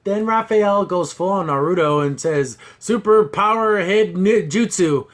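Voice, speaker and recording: A high-pitched male voice in a casual review chat. He recaps as a podcast host would, with an exasperated, mocking edge. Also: a little slow. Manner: exasperated, scornful.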